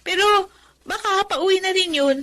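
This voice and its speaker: A woman playing a polite, excitable little granddaughter in a radio drama, with a Filipino accent. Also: very high-pitched, normal speed. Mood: polite, chirpy.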